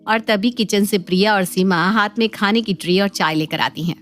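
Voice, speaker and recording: Lady narrating hindi cartoon story, dramatic, mid pitch, bit of music behind. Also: normal speed, a bit bright. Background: faint background music.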